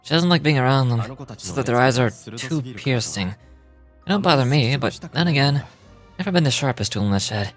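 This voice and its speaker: A kindly, modest magician played by a man reads from his diary as a game character. His delivery is reflective, with an occasional stumble, over soft background music. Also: mid-range voice, lively intonation, fairly quick, close to an everyday voice. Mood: earnest, fond.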